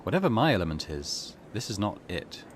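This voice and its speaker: Low-voiced, lively man hosting a let's play. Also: brisk, background noise. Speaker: gaming channel host. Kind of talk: let's play commentary and reading game text aloud.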